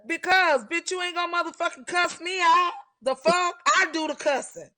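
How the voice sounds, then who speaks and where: A woman's voice: very high-pitched, normal speed, sharp tone, a little hiss or hum. A woman in her thirties, a teacher, talking bluntly and profanely in a heated casual discussion. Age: 30s.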